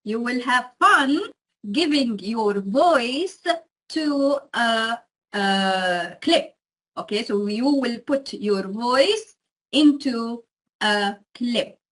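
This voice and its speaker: A woman's voice: a little high, lively intonation, drawn-out words, a bit bright. A woman giving an explanatory lecture to students, often checking in with her listeners. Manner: frequent check-ins with listeners.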